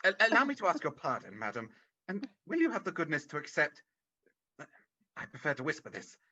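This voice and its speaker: A man playing an effusive old Victorian gentleman in a radio play read over a video call, excitable and theatrical. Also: fairly high pitch, fairly quick, breathy edge, exaggerated voice. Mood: effusive, delighted. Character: old Scrooge, reformed miser, gleeful and effusive. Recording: dull video-call audio.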